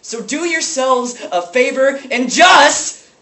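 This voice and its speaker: Echoey, distant stage monologue: man plays loud, sarcastic slacker substitute teacher, bitter, wildly swinging high pitch. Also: sing-song, unhurried, exaggerated voice. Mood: bitter scorn. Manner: sarcastic, bombastic, theatrical. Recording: echoey, noisy room from far off.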